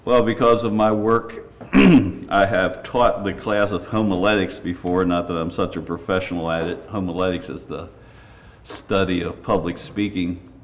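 An older man preaching a sermon in church, earnest and measured, with folksy asides and a slightly raspy voice. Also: sits low, steady tone.

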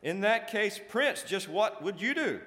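A man's voice: medium pitch, sing-song, a little slow, sharp tone. A man voicing a sly, jealous, shriveled little imp in a dramatic recited tale. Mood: frustrated, pleading. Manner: sly, boastful. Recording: echoey hall with long reverb.

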